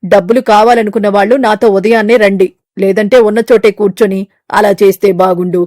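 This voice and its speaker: A woman voicing a stern male eagle who runs the fruit market, talking in a blunt, domineering way. Her delivery is gruff and commanding. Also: medium pitch, fairly even intonation, fast talker, a little mellow, no room sound, clean studio sound, lightly acted. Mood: dismissive. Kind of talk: animated storybook voice acting.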